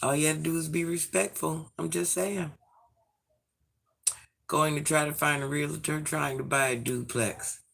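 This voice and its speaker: A man in his forties, hoarse from illness, chatting sassily with viewers on a livestream and reading out their comments. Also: fairly high pitch, steady tone, measured pace, fairly bright tone. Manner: sassy, chatty, addresses viewers by name. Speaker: livestream host.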